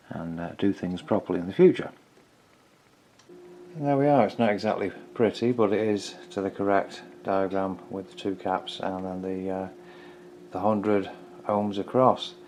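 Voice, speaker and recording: A man's voice: low-pitched, dark tone, slight background noise. A man giving casual hands-on repair commentary, informally thinking aloud in a tentative way as he works. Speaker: hobbyist repairer presenting own video.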